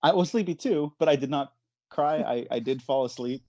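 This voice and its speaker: A man's voice: fairly high pitch, brisk, a little mellow, dry recording. Man hosting a casual podcast, dry close sound. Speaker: podcast host. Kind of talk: casual chat.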